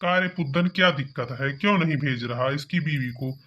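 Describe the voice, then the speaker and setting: A man's voice: a little high, a little fast, dull audio, close to an everyday voice. A man acting a bossy village police officer in a cartoon comedy, commanding. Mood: threatening, angry. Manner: authoritative, commanding.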